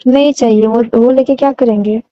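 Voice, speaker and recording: A woman's voice: fairly high pitch, steady tone, moderate pace. A young woman chatting casually and intimately on a phone call, coy, often answering with short hums. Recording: dull lo-fi phone call recording, roomy.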